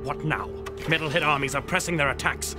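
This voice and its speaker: An actor playing a cold, sneering military commander serving a tyrant in a video game. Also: male voice, a little high, a little fast, lightly acted. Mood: cold, grim. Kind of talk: video game villain acting. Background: music.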